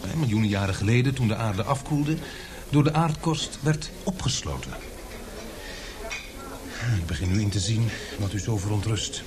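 Actor as a lively male reporter, crowd behind. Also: lowish voice, normal speed, pauses often, lightly acted. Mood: curious. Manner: hesitant, deferential, probing. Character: polite, inquisitive male newspaper reporter questioning an expert. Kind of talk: radio play acting.

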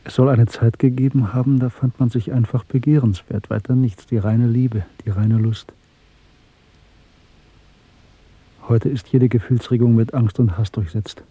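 An actor playing an embittered, fatalistic dissident in a German radio drama, brooding and deliberate in his phrasing. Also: medium pitch, fairly even intonation, dark tone, breathy edge, no echo, natural voice. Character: embittered, fatalistic male dissident, secretly hating the Party. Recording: muffled, dry 1970s radio production.

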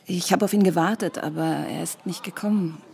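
A woman's voice: lowish voice, a little fast, vocal fry, darkish tone. A woman playing a cool, self-possessed city woman in a radio drama, composed and wry. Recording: music behind the voice.

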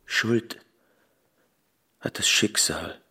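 An actor playing a broken, forgiving widower in a radio drama, halting and subdued, with a husky, gravelly voice. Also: male voice, pushed and bright. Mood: resigned sorrow. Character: broken, forgiving widower, resigned and grief-worn.

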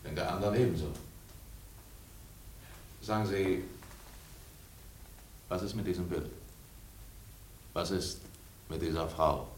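Low-voiced, reserved curious guest. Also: male voice, slow, pauses often, a little hiss or hum. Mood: uneasy, unsettled. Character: Mister Cross, reserved, curious male guest and listener. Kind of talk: German radio play acting.

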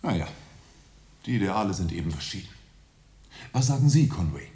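Animated, needling young gentleman. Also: low voice, normal speed. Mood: sarcastic, irritated. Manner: curt, sarcastic. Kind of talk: radio drama acting. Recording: roomy, echoing studio scene.

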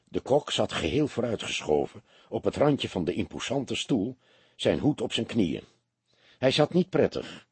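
A man reading an audiobook as narrator, in an expressive scripted style. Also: fairly low pitch, measured pace, slightly rough, no room sound.

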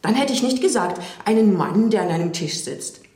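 An actress playing a reflective woman recalling a difficult man in a radio drama interview, speaking in a measured way. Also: mid-range voice, lively intonation, darkish tone, echoey room, close to an everyday voice. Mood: emphatic quoting.

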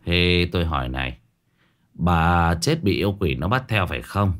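A man's voice: low voice, animated, moderate pace, fairly bright tone, pitched below the performer's own voice. A man voicing a stern young male shaman who interrogates harshly in clipped phrases.

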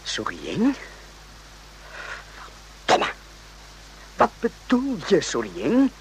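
An actor playing an uneasy, rough-spoken soldier on night watch in a radio play, agitated and often repeating himself. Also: male voice, high-pitched, huge pitch swings, very slow, a little hiss or hum, full character voice, low-quality recording. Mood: impatient, probing.

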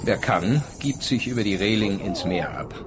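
A man's voice: lowish voice, lively intonation, moderate pace, noisy background, close to an everyday voice. A man playing a sardonic traveller narrating his sea journal in a radio drama, in a dry, literary, terse manner. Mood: wry, foreboding.

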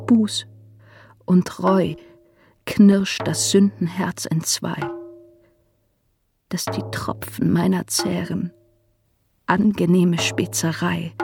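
Devout young mourner, low-pitched, slow, breathy lament, radio drama, music behind. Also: female voice, darkish tone. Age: young adult. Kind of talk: radio drama verse recitation.

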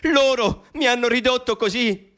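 A man's voice: very high-pitched, moderate pace, a bit bright. An Italian actor in a radio play, playing a persecuted male mathematician who gives an intimate, bitter, pleading confession to his mother. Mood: anguished. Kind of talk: radio drama monologue.

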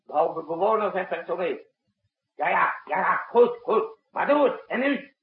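An actor playing a brisk, commanding president who gives curt military orders in a 1960s Dutch radio drama. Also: male voice, sits high, lively intonation, heavily acted. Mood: urgent. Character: commanding, brisk male president directing a night-time military crisis. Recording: muffled, husky-sounding old radio broadcast recording.

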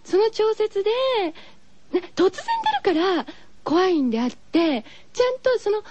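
A woman hosting a radio show, chatting gently and girlishly as she muses to her listeners. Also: very high-pitched, sing-song, measured pace, no echo, dull audio. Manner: gentle, girlish musing with rhetorical questions to listeners. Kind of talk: chatty solo radio talk, reading listener postcards.

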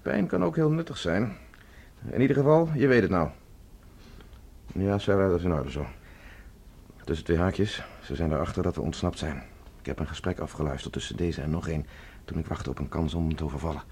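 An actor playing a tough human fugitive, curt, menacing and impatient, in a Dutch radio drama. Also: male voice, low voice, a little fast, long pauses, a little mellow, slightly rough. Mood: firm. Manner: curt, commanding. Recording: noisy radio drama recording.

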